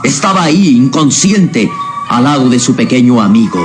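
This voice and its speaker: A man's voice: medium pitch, animated. Dramatic male radio serial narrator. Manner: suspenseful, emphatic, deliberate. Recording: radio drama with loud music behind.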